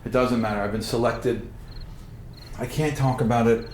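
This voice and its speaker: A man's voice: mid-range voice, steady tone, moderate pace, darkish tone, a little hiss or hum, pitched below the performer's own voice, lightly acted. Man acting a terse, protective soldier brother, roomy echo. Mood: subdued, earnest. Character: protective older brother, stern soldier sparring with young acolyte. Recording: roomy echo, music behind.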